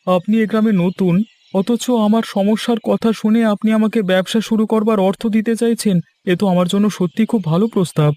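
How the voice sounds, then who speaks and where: Man acting high-voiced, naive village man. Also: steady tone, moderate pace, dark tone, no echo, lightly acted. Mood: hopeful. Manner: earnest, plaintive. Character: naive, debt-ridden male villager, earnest and easily fooled. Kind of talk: cartoon fairy-tale dialogue. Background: background music.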